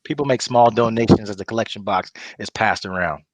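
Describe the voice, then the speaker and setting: A man's voice: on the low side, fairly quick, no echo, poor audio. A man narrating a comedy table read, reading out stage directions in an informal storytelling way.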